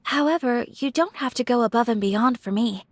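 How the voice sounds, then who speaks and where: A woman voicing a refined, gentle young noblewoman in a video game, speaking with formal courtesy and shy affection. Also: on the high side, normal speed, no echo, lightly acted. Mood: gracious, warm. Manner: formal, polite, softly hesitant phrasing. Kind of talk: scripted video game character voice acting.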